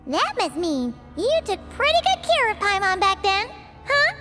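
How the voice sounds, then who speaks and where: A woman voicing a tiny excitable girl companion in a video game, chirpy and calling herself by name. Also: very high-pitched, normal speed, full character voice. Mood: disappointed. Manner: chirpy, refers to herself in third person. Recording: game cutscene with music.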